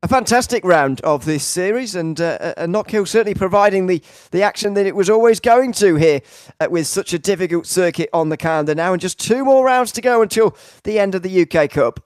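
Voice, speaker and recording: A man's voice: sits high, animated, a little fast, a little mellow, no echo. A man hosting and commentating a sim racing broadcast. He interviews a driver and wraps up the night, rambling a little and using many fillers. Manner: rambling, filler-heavy, enthusiastic wrap-up.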